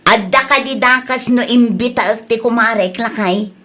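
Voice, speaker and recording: A woman's voice: on the high side, moderate pace, bright, forceful tone. A woman with an Ilocano accent acting an insistent, spendthrift young mother in an audio drama.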